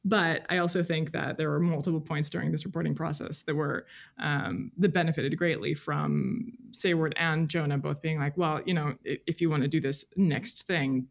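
A woman's voice: low-pitched, creaky. A woman speaks as an interview guest in a reflective, conversational way. She thinks aloud informally, feeling her way through each point with casual filler phrases. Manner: informal, thinks aloud, hedging with "like" and "you know". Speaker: podcast guest, freelance journalist.

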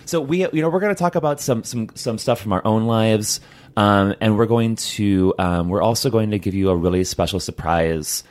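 A man's voice: lowish voice, moderate pace, a little mellow. A man co-hosting a podcast in casual chat, rambling with lots of fillers and teasing his co-host.

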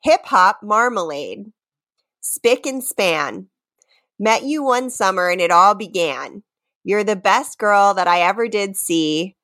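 Giggly, expressive woman podcaster, unhurried. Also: mid-range voice. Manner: giggly, often breaking into laughter. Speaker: podcast co-host. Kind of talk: playful dramatic reading of lyrics mixed with casual banter.

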